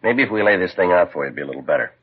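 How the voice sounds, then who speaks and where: Muffled 1950s radio, deadpan American cop, deep voice, methodical, matter-of-fact. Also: male voice, very fast, slight background noise. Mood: methodical, pressing. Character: dry, methodical, polite police detective. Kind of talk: 1950s radio crime drama acting.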